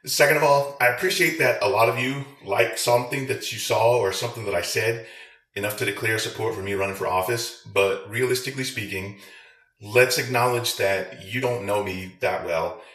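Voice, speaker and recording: A man with a fairly low, forceful, bright voice rants straight at his viewers. He swears freely and leans on crude sarcasm, and the room adds a clear echo around his words. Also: moderate pace. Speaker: video creator, military veteran. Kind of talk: profane rant to viewers.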